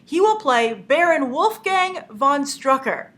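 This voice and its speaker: Young female movie news host, congested from a cold, tense bright tone, a little high, loose and chatty with frequent fillers. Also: big pitch swings, slow. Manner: chatty, many "uh" fillers, opinionated. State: stuffy from a cold. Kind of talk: casual news commentary.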